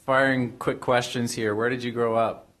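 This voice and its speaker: A young man hosting a live event, moderating in a brisk, upbeat way. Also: mid-range voice, level tone, a bit bright. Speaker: event host. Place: small event stage with audience. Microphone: handheld mic.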